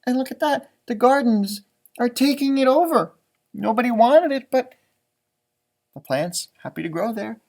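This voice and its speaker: A man's voice: very high voice, expressive, normal speed, vocal fry, darkish tone. A man with an American accent reads a children's picture book aloud like an elementary teacher, stretching words for emphasis and adding chatty, simple explanations. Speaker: elementary music teacher reading storybooks aloud.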